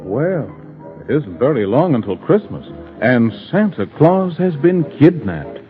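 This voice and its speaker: A man's voice: mid-range voice, very animated, unhurried. A man announcing a vintage radio serial with a dramatic cliffhanger delivery. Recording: muffled old radio recording with music.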